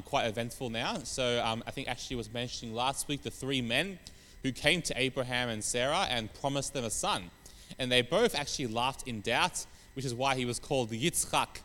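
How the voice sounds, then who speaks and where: A man with a croaky voice from hay fever, giving a relaxed sermon with many pauses and fillers. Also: mid-range voice, in a large hall. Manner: rambling, many fillers and restarts. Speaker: preacher. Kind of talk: informal sermon on scripture.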